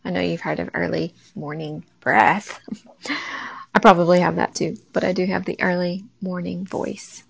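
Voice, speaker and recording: A woman speaks with a raspy early-morning voice that is heavy with gravelly fry, and her pitch sits on the lower side. She hosts a faith talk, addressing her listeners in a casual, direct way. The recording sounds dull and lo-fi. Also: fairly even intonation, moderate pace, no room sound. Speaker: podcast host.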